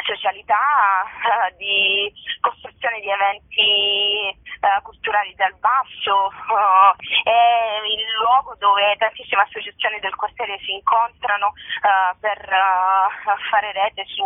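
Female phone-in guest for a casual radio interview. Halting delivery, searching for words, narrow dry phone sound. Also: mid-range voice, fairly even intonation, no room sound. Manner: hesitant, searching for words with drawn-out pauses. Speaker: organizer of a theatre festival, guest. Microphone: telephone. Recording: tinny narrowband telephone line.

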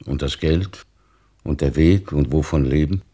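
An actor playing a weary, brooding old immigrant father in a radio play, with a gravelly, husky voice and a questioning manner. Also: rumbling low, a little slow, dark tone, heavily acted. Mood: doubting, resigned. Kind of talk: literary radio play acting.

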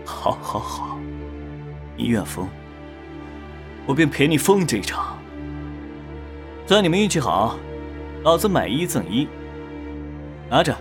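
Wildly expressive roguish demon. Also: male voice, mid-range voice, slow, long pauses, music in the background. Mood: anguished bravado. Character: roguish, proud male demon, devoted and teasing. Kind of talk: Chinese audio drama acting.

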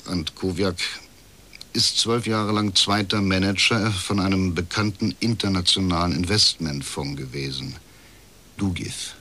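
An actor playing a bitter, middle-aged male official confiding as a whistleblower in a radio crime drama, with a husky voice and measured delivery. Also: low voice, sharp tone, full character voice. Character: disillusioned, chain-smoking male privatisation official, uneasy whistleblower.

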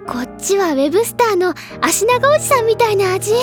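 A woman playing a cheerful, dreamy teenage schoolgirl who loves literature, expressive and teasing, in a Japanese audio drama. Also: extremely high pitch, a little fast, music in the background. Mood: dreamy delight.

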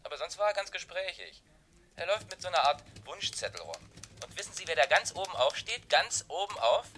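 An actor playing a pushy, sly newspaper crime reporter in a German radio drama, needling and mockingly familiar. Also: male voice, a little high, sing-song, a little fast, bright, forceful tone, slight background noise.

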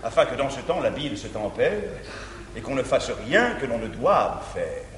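An actor playing a jealous, controlling middle-aged guardian, declaiming verse pompously with sudden swells. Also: male voice, mid-range voice, sing-song, normal speed, fairly bright tone. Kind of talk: 1950s stage verse comedy. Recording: roomy theatre recording with hiss.

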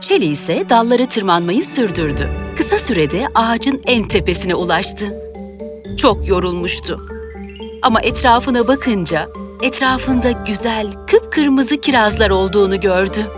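A woman's voice: medium pitch, lively intonation, a little slow. A woman reading a scripted children's story as its narrator. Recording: music behind the voice. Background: music.

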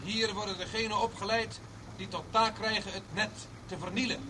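A man's voice: high-pitched, fairly even intonation, normal speed, lightly acted. An actor in a radio drama playing a showy, pompous tour guide who declaims to his listeners. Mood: showy, explanatory. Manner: declamatory, grandiose patter to a crowd. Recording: noisy old radio recording, distant from mic.

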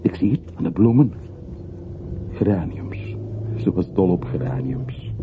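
A man's voice: sits low, animated, measured pace, vocal fry, exaggerated voice. A man acting in a radio drama as a wistful, commanding man looking back on his hometown, reflective and formal. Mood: nostalgic. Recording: muffled phone-like old radio recording, faint noise.

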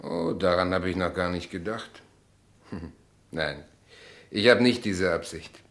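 Radio drama lead: a shrewd, self-assured man, calm and fairly low-pitched. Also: takes it slowly, heavily acted. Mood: dry, composed. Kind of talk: German radio play acting.

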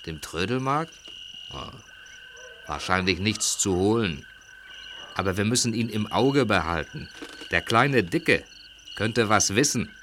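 A man playing a cautious, scheming criminal in a German audio drama, speaking deliberately and conspiratorially. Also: fairly low pitch, lively intonation, fairly bright tone, a little hiss or hum. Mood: scheming, wary. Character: adult male criminal plotting a secret search, cautious and scheming.

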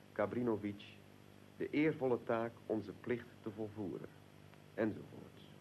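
Slow, fervent conspirator leader. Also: male voice, fairly low pitch, darkish tone, slight background noise, poor audio. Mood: determined. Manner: oratorical, deliberate, emphatic. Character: fervent, solemn Serbian nationalist conspirator leader rallying assassins. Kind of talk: radio drama speech.

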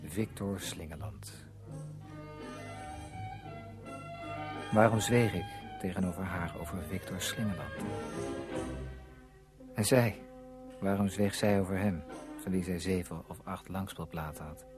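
An actor playing a brooding, self-tormented novelist in a radio play, speaking in an introspective, deliberate way. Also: male voice, low voice, music in the background, natural voice. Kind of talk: Dutch radio play acting.

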